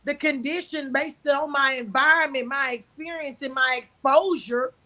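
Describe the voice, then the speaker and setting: A loud woman in her forties with a big, bright, forceful voice that sits high. She talks candidly with an emphatic, almost preaching push behind her words. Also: normal speed. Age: 40s. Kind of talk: candid conversational talk.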